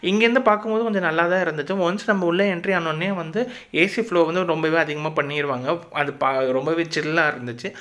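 A Tamil-accented man talks through a casual travel vlog at a rapid clip, his voice sitting a little high. He sounds bright and edgy, and his delivery is chatty and advice-giving, packed with fillers. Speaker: travel vlogger.

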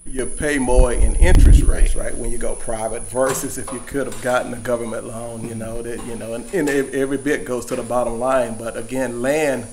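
Farmer guy explaining how they bought land, steady and measured, lots of restarts. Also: medium pitch, noisy background. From Louisiana. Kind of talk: interview answers.

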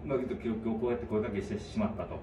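A male police officer, hesitantly explaining in fast, mumbled bursts at a doorway outdoors, caught on a phone from a distance with birds behind him. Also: on the low side, fairly even intonation, reverberant, noisy background. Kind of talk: polite explanation. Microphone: phone held by the other speaker.

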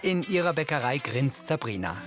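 A man's voice: medium pitch, very animated, normal speed, music in the background. A man reading scripted narration that describes the action on screen.

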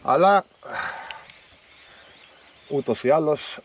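A man in his thirties filming himself fishing from a small boat, explaining calmly to viewers what he is doing. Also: on the high side, muffled recording. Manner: calm, explanatory, talks while fishing. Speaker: fishing vlogger. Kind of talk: casual on-boat commentary to viewers. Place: small inflatable boat on open sea, outdoors. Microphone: action camera mounted on boat. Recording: outdoor boat noise. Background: boat and water noise.

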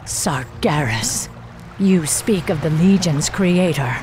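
A low, solemn female elf warden commander, stern and vengeful. She speaks formally, like one used to giving orders, in dramatic fantasy game style. Music plays behind her. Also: expressive, slow. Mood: grim, wary. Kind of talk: dramatic video game acting.

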